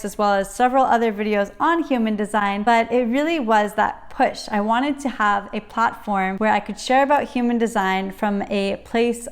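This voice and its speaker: A young woman in casual talk to camera, chatty and enthusiastic, often breaking off to interrupt herself. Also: fairly high pitch. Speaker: YouTuber sharing her channel story. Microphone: camera at desk, reading notes off a computer.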